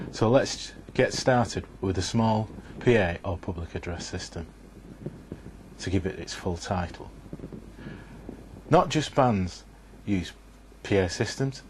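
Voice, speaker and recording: Man, lowish voice, methodical scripted tutorial narration, explanatory, faint background noise. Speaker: instructor.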